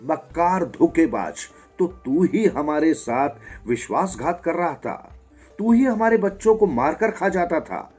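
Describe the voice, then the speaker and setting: Furious storybook parent bird, male narrator: raised pitch, loud, harsh, threatening, music underneath. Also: expressive, measured pace, slightly rough. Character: enraged bird accusing a traitor, vengeful parent. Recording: music behind the voice.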